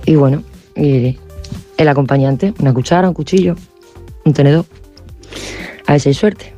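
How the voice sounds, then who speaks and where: A woman's voice: sits low, normal speed, dark tone, soft background music. A woman from Extremadura with a Spain Spanish accent, leaving a casual voice message for a radio show. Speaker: radio listener sending a voice message.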